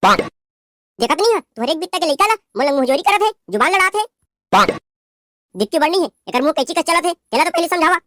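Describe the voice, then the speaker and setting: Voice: extremely high pitch, expressive, a little fast, long pauses, bright, forceful tone, dry recording, full character voice. A performer voicing a spoiled, haughty young daughter-in-law with a Chhattisgarhi accent, scolding and rude in a cartoon. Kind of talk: cartoon voice acting.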